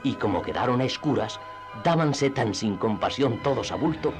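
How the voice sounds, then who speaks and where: A man with a husky voice narrating a classic tale for radio theatre in a measured, dramatic storytelling manner. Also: mid-range voice, animated, over music.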